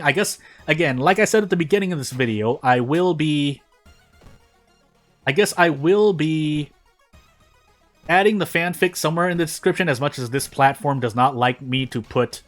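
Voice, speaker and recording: A man's voice: on the high side, fairly bright tone. A man doing casual gaming video narration and commentary in a rambling, self-deprecating way. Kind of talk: casual gameplay commentary and fanfic reading.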